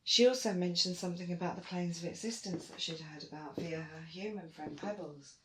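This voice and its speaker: A woman playing a proud, stubborn archaeologist in an audio roleplay, with emphatic, expressive delivery. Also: a little fast, natural voice. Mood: suspicious, determined. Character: Dune, proud, determined female archaeologist, stubborn and warm to friends. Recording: roomy, faint rumbling noise.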